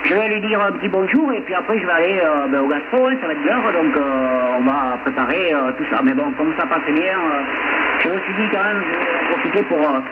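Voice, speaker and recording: A man's voice: a little high, brisk. Man on a narrow, noisy radio link. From France. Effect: radio transmission. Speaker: amateur radio operator. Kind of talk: casual radio contact chat.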